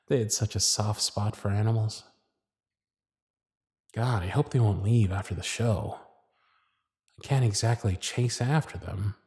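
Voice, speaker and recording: A smitten circus magician played by a man for an ASMR romance roleplay, with a husky, breathy voice in a close, dry recording. He is gentle and charming, tender and flattering, with a private nervousness underneath his soft-spoken delivery. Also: on the low side, takes it slowly, pauses often, no room sound, full character voice. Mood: hushed longing. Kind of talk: ASMR romance roleplay with intimate inner monologue.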